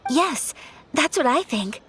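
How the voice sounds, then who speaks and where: A woman playing a gentle, refined young noblewoman in an anime-style video game, speaking softly, formally and earnestly. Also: sits high, expressive, takes it slowly, soft background music, full character voice. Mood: thoughtful. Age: young adult. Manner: soft, formal, courteous.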